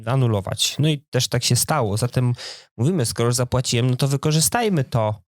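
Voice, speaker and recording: A man's voice: mid-range voice, lively intonation, fairly quick, no echo, clean studio sound. A man co-hosting a podcast, talking in a casual, informal conversational way. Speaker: podcast co-host.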